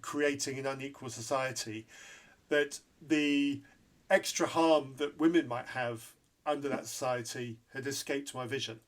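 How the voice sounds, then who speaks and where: A man's voice: mid-range voice, steady tone, unhurried. A man hosting an interview podcast, who grew up in Zambia, speaking candidly and repeating points for emphasis. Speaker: podcast host.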